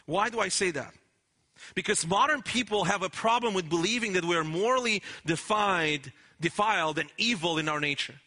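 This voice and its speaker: Pastor preaching, earnest and emphatic, pretty high bright voice. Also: male voice, normal speed. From Romania. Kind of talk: sermon.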